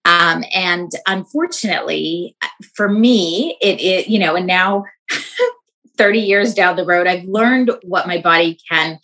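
Bright, chatty woman guest in her thirties. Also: lowish voice, rough recording. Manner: chatty, full of fillers, quick bursts. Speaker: guest, wellness coach. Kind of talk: casual interview chat.

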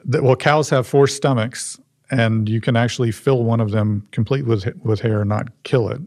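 A man, showing and explaining a museum object in a halting, restarting way. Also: mid-range voice, darkish tone, no echo, studio quality.

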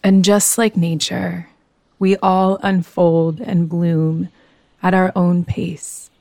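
A woman guiding a yoga nidra meditation in a soothing, gentle, unhurried manner. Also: lowish voice, flat delivery, gravelly, no echo, clean studio sound. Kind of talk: guided meditation.

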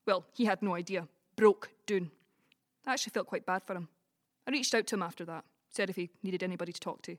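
A woman with a Scottish Dumfries accent acting in an audio play as a brash, gossipy, blunt friend with a soft side. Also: fairly low pitch, fast talker, natural voice. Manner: chatty, blunt, sweary. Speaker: community cast actor. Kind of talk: community audio drama, colloquial dialogue.

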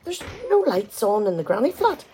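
A woman's voice: medium pitch, sing-song, moderate pace, warm tone, slight background noise, lightly acted. An amateur actress, a woman, playing a practical, firm wife in a conversational domestic radio play.

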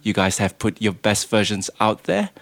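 Guy from malaysia chatting on a podcast, lowish voice, humble and a bit emotional, dry sound. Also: sing-song, moderate pace, gravelly, fairly bright tone, no room sound. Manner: humble, emotional, self-deprecating. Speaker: guest, production manager. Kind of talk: casual podcast chat.

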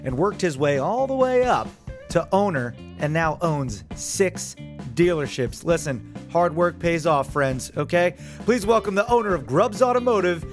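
A man's voice: a little high, animated, unhurried, soft background music. A man hosting a podcast in a casual, upbeat, chatty interview style. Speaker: podcast host.

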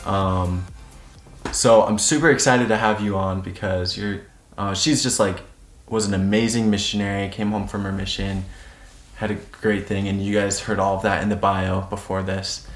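Low-voiced upbeat podcast host man. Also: little pitch movement, normal speed, faint music behind. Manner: upbeat, enthusiastic. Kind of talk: casual podcast interview. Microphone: single shared microphone.

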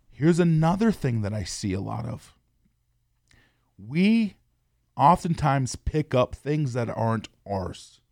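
A man's voice: mid-range voice, vocal fry, darkish tone, dry recording, studio quality. A young adult man, hosting a podcast and talking to listeners in an earnest, encouraging way. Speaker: podcast host. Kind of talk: motivational podcast talk.

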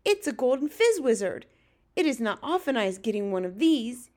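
A woman voicing an excitable, dramatic male giant in a storybook reading, exclaiming in ungrammatical, folksy speech. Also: a little high, brisk, natural voice. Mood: delighted, bossy. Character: excitable big-eared male giant, dream catcher, dramatic.

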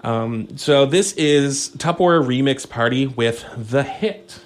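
Canadian guy on community radio, rambling and excited, lots of ums, regular-sounding voice. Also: medium pitch, slow. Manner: rambling, filler-heavy, enthusiastic. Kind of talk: casual radio chat. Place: small radio studio.

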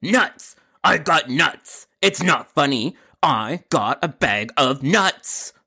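Man doing a harsh raspy grumpy customer. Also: a little high, lively intonation, a little slow, bright, forceful tone, heavily acted. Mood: exasperated. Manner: curt, irritated, insistent. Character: grumpy, impatient food delivery customer named Sharon.

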